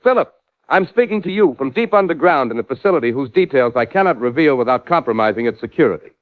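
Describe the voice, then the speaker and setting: A man's voice: mid-range voice, lively intonation, fast talker, no echo, lightly acted. A man playing an earnest, formal wartime radio correspondent reporting dramatically from the field. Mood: tense awe. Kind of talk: 1940s radio reporting acting. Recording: thin phone-like radio transmission.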